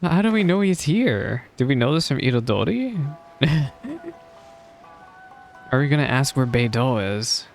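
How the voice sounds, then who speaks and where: A man's voice: medium pitch, big pitch swings, unhurried. Casual male streamer musing over a game. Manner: musing aloud, chatty asides. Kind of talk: casual game reaction commentary. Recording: faint game music behind.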